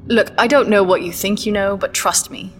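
A woman's voice: medium pitch, moderate pace, soft background music, close to an everyday voice. A woman playing a proud, guarded scientist in a sci-fi audio drama, insistent and incredulous.

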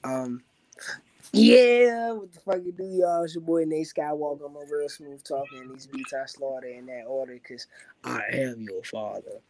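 A young man from the Washington, D.C. area with a raspy voice, a rapper talking casually as an interview guest and filling his speech with "you know what I'm saying.". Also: fairly high pitch, moderate pace, darkish tone, dry recording, low-quality recording.

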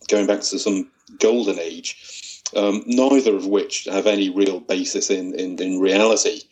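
A man's voice: fairly low pitch, poor audio. A middle-aged man from Widnes, reminiscing as a guest with lots of restarts and small chuckles. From Widnes, North West England. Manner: hesitant, many restarts, chuckles. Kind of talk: casual reminiscing interview.

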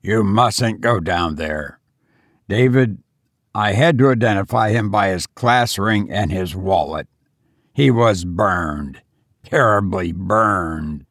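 Man acting a low, creaky, grim grieving doctor. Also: lively intonation, slow pace, fairly bright tone, no room sound, clean studio sound, full character voice. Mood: horrified, groaning. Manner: groaning, weary, pleading. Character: grim, horrified doctor, grieving husband and father.

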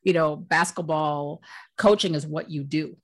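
A woman hosts a relaxed interview in a notably low voice. She keeps her guest talking with friendly, slangy affirmations. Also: a little slow. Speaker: interview host. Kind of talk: casual interview.

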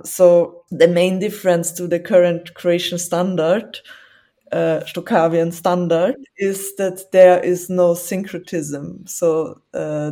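Woman from southern Burgenland, lowish voice, chatty interview guest, laughs often. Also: dark tone. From southern Burgenland, Austria. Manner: laughs often, explains grammar with examples. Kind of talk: casual interview.

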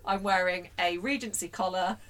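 A woman co-hosting a podcast, chatting casually, self-mocking and quick to laugh, with the odd swear. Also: fairly low pitch. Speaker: podcast co-host. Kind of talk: casual chat.

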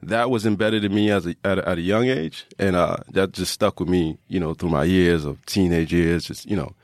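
A man's voice: low voice, fairly quick, dry recording, studio quality. A man from Trinidad, a fitness coach and podcast guest, telling his own story in a casual, reflective, conversational way.

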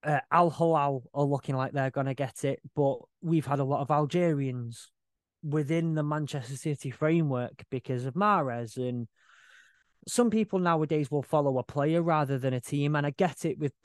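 A man's voice: on the high side, normal speed, no room sound, studio quality. A man appearing as a podcast guest, chatting casually and searching for his words as he goes. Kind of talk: casual chat.

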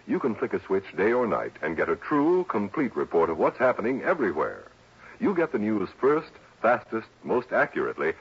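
Husky, animated American radio announcer man. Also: on the low side. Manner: polished, emphatic. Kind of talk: scripted mid-century radio promo announcing. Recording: dull vintage radio recording.

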